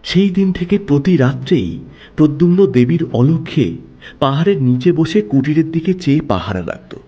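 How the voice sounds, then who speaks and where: Animated male storyteller, fairly high voice. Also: normal speed, husky, lo-fi sound. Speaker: narrator. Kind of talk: dramatic literary story narration. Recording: music under the voice.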